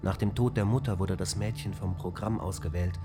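A man voicing a calm male onboard ship computer that tells a bedtime fairy tale in a measured, matter-of-fact way. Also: deep voice, natural voice. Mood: storytelling. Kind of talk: German radio drama acting. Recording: radio play with music underneath.

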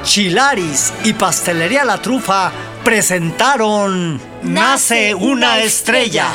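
A radio announcer reads scripted promos with a Mexican accent. The voice is medium in pitch, with music clearly playing behind it. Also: lively intonation, slow.

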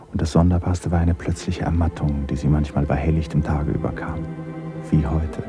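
A man narrating a radio play in a measured, literary storytelling style. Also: very deep voice.

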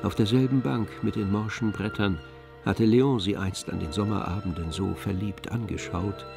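A man playing the composed, observant narrator of a literary radio drama, with measured delivery. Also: on the low side, steady tone, gravelly, over music.

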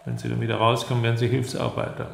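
Very gravelly, menacing East German interrogator. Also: male voice, medium pitch, completely flat delivery. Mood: cool, threatening. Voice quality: gravelly, creaky. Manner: measured, authoritative, coaxing with threats. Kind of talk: radio drama acting. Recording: echoey room with faint noise.

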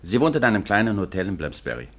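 An actor playing a courteous, businesslike police detective in a 1950s radio drama, speaking formally and matter-of-factly. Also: male voice, on the low side, big pitch swings, a bit bright. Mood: calm, courteous. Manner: measured, matter-of-fact, formal. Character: courteous, businesslike male Scotland Yard detective.

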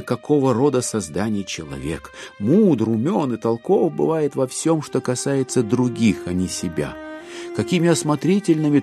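A man narrating a literary audio drama, with expressive, ironic and deliberate phrasing. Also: mid-range voice.

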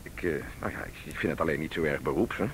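An actor playing a brusque, impatient police commissioner in a radio drama, with a sardonic, informal manner. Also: male voice, fast talker. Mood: irritated. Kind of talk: Dutch radio drama acting. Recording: rumbling, noisy old radio drama recording.

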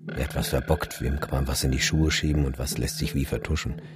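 Wry photographer, extremely low voice, laconic, radio play monologue, music underneath. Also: male voice, steady tone, a little fast. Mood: wry recollection. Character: sombre, sardonic male photographer recalling a disaster.

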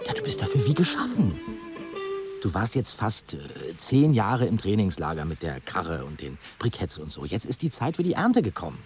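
Lively, scheming young man. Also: lowish voice, normal speed, music in the background. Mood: eager, persuasive. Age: young adult. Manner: casual, persuasive, slangy. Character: enthusiastic scheming young student friend turned would-be manager. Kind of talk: naturalistic German radio drama dialogue.

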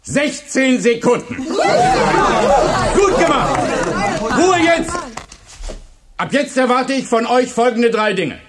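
Strict male schoolteacher, radio drama. Very high-pitched, commanding, clipped drill-style delivery. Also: lively intonation, takes it slowly, long pauses, a loud crowd, heavy background noise, exaggerated voice. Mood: stern, approving. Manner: barking, clipped classroom orders. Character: strict commanding male history teacher drilling his class. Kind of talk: German radio play acting.

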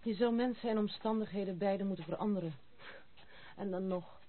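A woman's voice: mid-range voice, brisk, a little hiss or hum, natural voice. An actress playing a frank, disillusioned young woman with a wry, direct manner in a radio drama. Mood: disillusioned, confiding. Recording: tinny, band-limited old radio recording.

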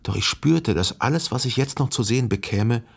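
A man acting the brooding, jealous narrator of a literary radio drama, measured, introspective and formal in delivery. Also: mid-range voice, expressive, vocal fry, breathy edge. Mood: brooding, reflective. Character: brooding, probing, jealous male narrator in mourning.

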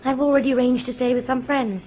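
An actress playing a brisk, forthright, well-spoken young English woman in a radio drama. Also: high voice, fairly even intonation, slightly rough, lo-fi sound. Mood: friendly.

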